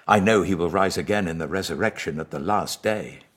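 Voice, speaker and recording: British man voicing an earnest, grieving woman, husky. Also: deep voice, a little fast, a bit bright. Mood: earnest faith. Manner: earnest, pleading.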